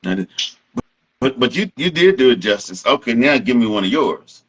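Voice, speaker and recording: A man hosting a casual talk, commenting reflectively in a halting way as he repeats and rephrases himself. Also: medium pitch, very animated, muffled recording, slight background noise. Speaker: host.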